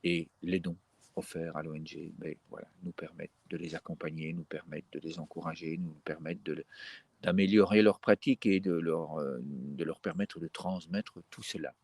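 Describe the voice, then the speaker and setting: A man with a very low, gravelly voice leads a guided breathing session. He speaks gently and unhurriedly, often pausing on fillers and starting phrases over. The sound is husky and dry, close and free of room echo. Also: steady tone.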